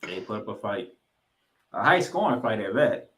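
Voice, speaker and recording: A man hosting a sports podcast, giving football commentary in an emphatic way, with dramatic pauses and repeated phrases. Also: fairly low pitch, big pitch swings, pushed and bright.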